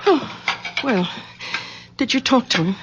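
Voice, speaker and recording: An actress playing a gentle, concerned middle-aged wife and daughter in a radio drama, husky and softly hesitant. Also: female voice, high voice, animated, a little slow, background noise. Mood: wistful, concerned. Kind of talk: 1970s radio drama acting. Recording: dull old radio recording.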